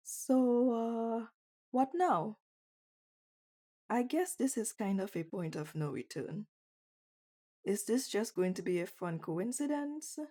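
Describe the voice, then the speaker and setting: Brief: female performer as teasing young bunny girl for intimate ASMR roleplay; unhurried, warm, relaxed tone, blunt and playful. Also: mid-range voice. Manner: playful, blunt, questioning, intimate one-sided conversation. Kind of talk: ASMR roleplay audio drama.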